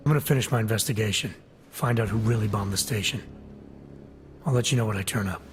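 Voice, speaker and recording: An actor playing a guarded, skeptical, no-nonsense agent in a video game cutscene, speaking with restraint. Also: male voice, lowish voice, fast talker, slight background noise, close to an everyday voice. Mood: guarded, determined.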